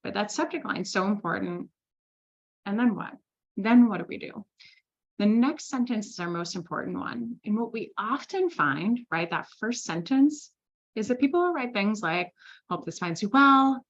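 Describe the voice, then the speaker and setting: Brief: woman sales trainer, lively conversational delivery, mid pitch, slightly muffled audio. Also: moderate pace. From Switzerland. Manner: lively, conversational, with frequent "right?" tags. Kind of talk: presenting a masterclass.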